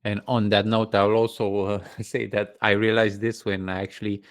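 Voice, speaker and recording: A man whose first language is Romanian, hosting and moderating an online panel in a relaxed, organized way over a video call. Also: lowish voice, normal speed, no echo, clean studio sound. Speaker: webinar host and moderator.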